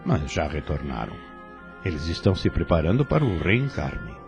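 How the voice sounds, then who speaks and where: A Brazilian man playing a calm, kindly spirit instructor with patient authority and a husky voice in an audio drama. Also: a bass voice, normal speed, music in the background, full character voice. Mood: gentle, informative.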